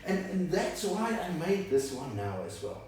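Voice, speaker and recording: A man in his sixties, not a native English speaker, giving an animated whiteboard lecture and checking in with "right?" again and again. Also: sits high, normal speed, a little hiss or hum. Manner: animated, gestures and draws while explaining, asks "right?" often. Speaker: dog breed instructor. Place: small indoor room by a whiteboard. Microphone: camera a few meters away. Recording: echoey room, mic at a distance.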